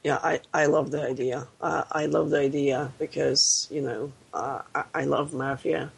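Husky, gravelly man, ill. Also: on the high side, steady tone, poor audio. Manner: halting, searching for words. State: ill, voice lower than usual. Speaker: podcast guest. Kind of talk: casual podcast chat.

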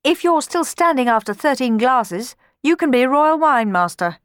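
A wry manservant playing at being a grand prince in a storybook, performed by a woman. He moves between conspiratorial asides and lofty pronouncements. The voice is animated and the recording dry. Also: high voice, normal speed, smooth, no echo, pitched above the performer's own voice. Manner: conspiratorial whisper to his master, lofty in public. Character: wry male servant disguised as a grand prince.

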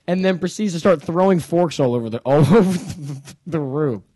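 A man's voice: on the high side, animated, moderate pace, heavy background noise. A man hosting a casual podcast chat, rambling with many fillers and laughing easily. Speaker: podcast host.

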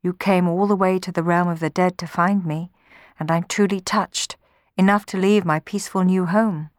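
A woman's voice: on the low side, fairly even intonation, normal speed, dry recording, close to an everyday voice. A storyteller plays a young woman from Greek myth, wounded and devoted. She is plaintive and reproachful as a storybook heroine, recorded dry and close. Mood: touched, questioning.

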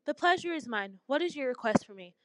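A woman's voice: sits high, expressive, quick pace, a bit bright, dry recording. A woman voicing a gray-haired, emotional female knitter of the gods in a storybook tale. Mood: polite. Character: Marian, gray-haired divine knitter, gentle then anguished.

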